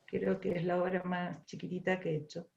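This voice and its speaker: A woman's voice: fairly low pitch, level tone, a little fast, creaky, darkish tone, highs cut off. A woman from Argentina with an Argentine accent, a ceramic artist thinking aloud as she tells the stories behind her work, often repeating and restarting phrases. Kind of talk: reflective personal storytelling.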